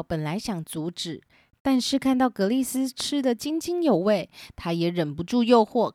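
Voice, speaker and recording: A woman's voice: mid-range voice, a little mellow, dry recording, clean studio sound. A woman host narrating a scripted children's story.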